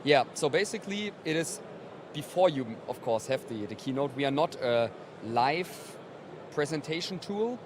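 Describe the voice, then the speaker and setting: Man explaining a product amid loud noise. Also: on the high side, expressive, moderate pace. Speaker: company representative being interviewed. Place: busy exhibition hall. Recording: loud trade show floor noise.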